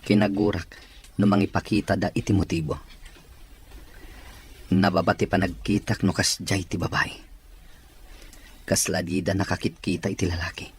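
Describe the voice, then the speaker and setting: A man plays a demanding male office boss in a radio drama, secretly lovestruck. His voice sits fairly low. Faint ambient noise runs behind him. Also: little pitch movement, normal speed, long pauses, close to an everyday voice. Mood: brooding. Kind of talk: Ilocano radio drama acting.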